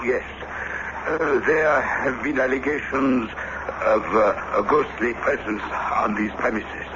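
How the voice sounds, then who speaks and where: An actor playing a flustered, courteous police commissioner in an old radio drama, halting and deferential. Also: male voice, medium pitch, fairly even intonation, natural voice. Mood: flustered, officious. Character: flustered, courteous French police commissioner, male, middle-aged. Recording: muffled, very noisy old radio recording.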